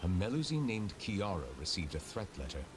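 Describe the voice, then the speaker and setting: An actor playing a solemn, reserved ancient chief justice in a game, speaking formally and gravely. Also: male voice, sits low, big pitch swings, fairly quick, noisy background. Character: ancient dragon judge in human form, solemn and reserved.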